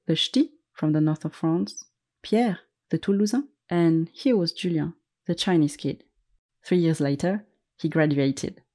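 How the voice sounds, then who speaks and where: A woman narrating a story in a gentle, measured, scripted way. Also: fairly low pitch. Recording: faint music underneath.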